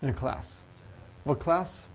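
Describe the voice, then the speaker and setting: A man's voice: slow pace, a little hiss or hum. A man giving a university math lecture, asking the class questions and joking with students. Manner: lively, asks the class questions and jokes. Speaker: math professor. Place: lecture hall.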